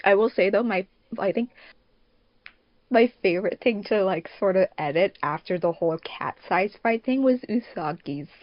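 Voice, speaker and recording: A woman hosting a casual podcast, talking in an animated, rambling, slangy way. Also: mid-range voice, normal speed, no echo. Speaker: podcast host and fan dub producer. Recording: dull, dry lo-fi mic.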